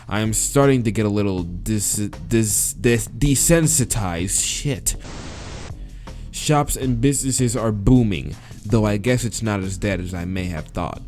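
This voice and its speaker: A man's voice: fairly low pitch, a little slow, a little mellow. A man narrating a visual novel aloud as a let's-play host, casual and crude, sometimes stumbling over his words. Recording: music behind the voice. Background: game music.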